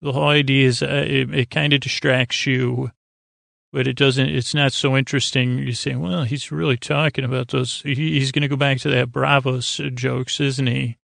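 Really creaky gravelly guy rambling on a sleep podcast, pretty flat, trips over words and drifts off on tangents. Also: mid-range voice, no room sound, studio quality. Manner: meandering, halting, stumbling over words, going off on tangents. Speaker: podcast host.

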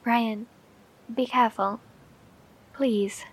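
Actress as an anxious, breathy bunker woman. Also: a little high, fairly even intonation, extremely slow, heavily acted, rough recording. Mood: worried. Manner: tentative, concerned. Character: anxious, caring female radio operator in a bunker. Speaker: actor. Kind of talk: post-apocalyptic horror audio drama.

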